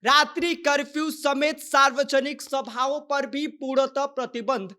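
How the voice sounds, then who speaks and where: A woman's voice: high voice, steady tone, unhurried, bright, forceful tone. A woman presenting a news explainer in a scripted reporting style.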